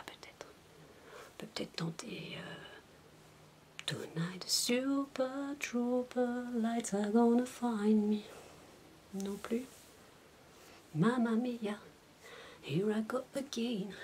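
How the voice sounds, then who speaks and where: A woman's voice: a little high, darkish tone. Woman acting as a whispering, zany babysitter. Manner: whispery, coaxing, silly, chatty. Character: zany playful babysitter entertaining a six-year-old child. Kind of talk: soft-spoken ASMR roleplay.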